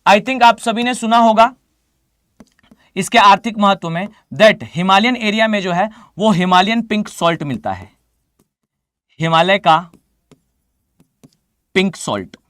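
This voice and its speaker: Hoarse indian man, high voice, lecturing, dry close mic, asks students questions. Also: expressive, unhurried, long pauses, a bit bright, no echo. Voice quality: mixes Hindi with English phrases. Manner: addresses students directly, asks them to reply. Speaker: teacher. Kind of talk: live online lecture.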